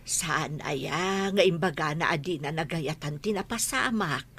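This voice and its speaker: A woman's voice: low voice, a little slow, fairly bright tone, husky, no room sound, exaggerated voice. An actress playing an aggrieved young woman pleading her case, with a Filipino accent, in radio comedy-drama style. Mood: hurt, insistent.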